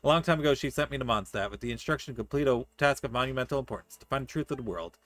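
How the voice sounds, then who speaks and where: A man's voice: mid-range voice, fairly bright tone, no room sound, lightly acted. Man reading as a solemn, composed alchemist. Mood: composed, pleased. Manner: measured, solemn, formal read-aloud. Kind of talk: acted reading of fantasy game dialogue. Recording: music behind the voice.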